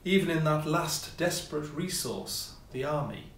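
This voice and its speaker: A man reading a classic ghost story as scripted narration, with measured, theatrical phrasing and pauses. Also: on the high side, fairly bright tone. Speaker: audiobook reader, amateur player. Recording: roomy echo, distant mic, faint noise.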